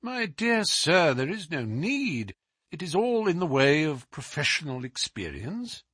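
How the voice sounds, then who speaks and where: Man voicing a gentleman detective, amiable, courteous, composed, lightly husky, animated intonation, audiobook character. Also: fairly high pitch, dry recording, clean studio sound, voice pushed up, exaggerated voice. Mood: amused, musing. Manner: polite, measured, formal. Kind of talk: dramatised audiobook reading.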